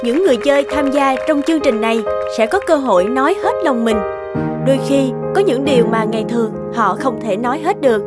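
A woman plays a polite young cartoon lady. Her courteous voice is high, over loud background music. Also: a little fast, lightly acted. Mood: formal. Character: polite young woman, date and talk-show presenter.

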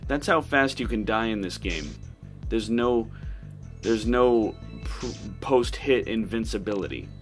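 Low-pitched man commenting over game music. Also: expressive, unhurried. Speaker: gaming video host. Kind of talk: casual video game playthrough commentary.

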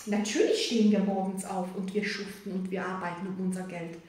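A woman's voice: medium pitch, level tone, brisk, darkish tone. A woman, giving a rambling, chatty tarot reading with many fillers and tag questions. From Germany, of Sicilian parents. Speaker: tarot reader. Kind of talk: casual spoken tarot reading. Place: indoors, room with a card table. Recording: echoey room, voice from a distance.

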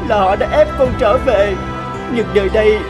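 An actor playing a gentle, lonely boy raised by wolves, speaking earnestly and plaintively in a cartoon dub. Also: mid-range voice, normal speed, over music, close to an everyday voice. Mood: pleading, sad. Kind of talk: cartoon dubbing.

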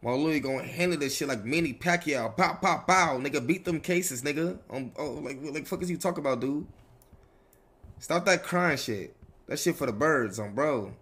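A man's voice: fairly high pitch, moderate pace, a bit bright, slightly rough. A man playing a cocky young gangster in an online role-play game, talking in slangy, sweary, joking street talk. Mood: brash, reassuring. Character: cocky young male gangster jailed, reassuring his woman.

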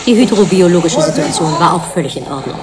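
An actress playing a firm, matter-of-fact hydrobiologist in a German radio drama. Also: female voice, lowish voice, expressive, quick pace, over a noisy crowd, natural voice.

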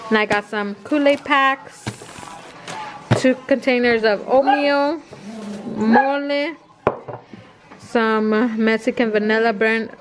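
Woman in her thirties, fairly high voice, chatty and rambling, home kitchen, faint hiss behind. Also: slow pace, long pauses. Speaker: vlogger. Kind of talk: casual grocery haul chat.

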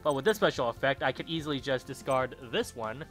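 Bright-voiced man rambling over a game. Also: mid-range voice, expressive, a little fast, faint music behind. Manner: rambling, thinking aloud, self-correcting. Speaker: gaming channel host. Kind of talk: let's play commentary.

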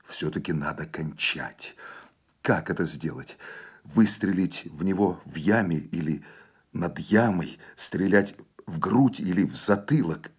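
A man's voice: deep voice, animated, a little slow, breathy edge, exaggerated voice. A man voicing a reluctant, uneasy partisan sent as executioner in a radio story, terse and muttering. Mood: grim, hesitant.

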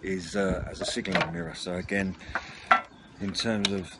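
A man's voice: sits low, flat delivery, normal speed. A man giving an informal, slightly rambling and hesitant run-through to camera, recorded outdoors at a table on a camera mic. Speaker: video channel host. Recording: noisy outdoor home recording.

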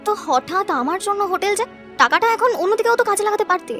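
Cartoon village wife, played by a woman, very high voice, practical and caring, rapid homely chatter over music. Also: expressive, sharp tone, full character voice. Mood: curious. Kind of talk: cartoon voice acting.

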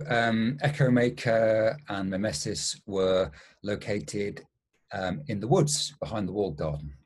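A male visual artist introducing himself on a video call, halting with many ums. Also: fairly low pitch.

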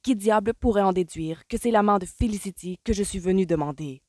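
Woman doing an angry young gentleman, barking, loud outbursts, storybook reading, dry close sound. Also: low voice, moderate pace, no echo. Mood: indignant. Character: hot-tempered young gentleman suitor.

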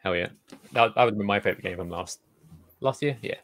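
A young man in his twenties reading out gaming news on a podcast. His voice sits low and sounds dry and close. He is upbeat and laughs easily. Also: quick pace, fairly bright tone, no echo. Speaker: podcast co-host, news reader. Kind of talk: casual chat.

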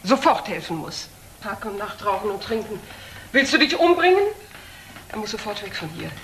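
A woman's voice: medium pitch, big pitch swings, moderate pace, fairly bright tone, poor audio. An actress playing a stern, well-to-do woman doctor in a crime radio play, speaking in a precise, grave, commanding way. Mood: reproachful, urgent. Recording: noticeable background noise, old broadcast audio.

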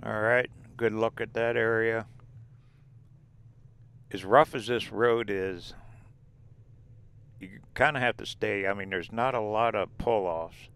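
Low gravelly guy chatting along while he drives, laid back, pointing stuff out from inside the car. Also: takes it slowly, long pauses. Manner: relaxed, rambling, points out sights as he goes. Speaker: RV travel vlogger. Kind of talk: casual narrated drive and drone tour. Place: inside a vehicle driving desert roads.

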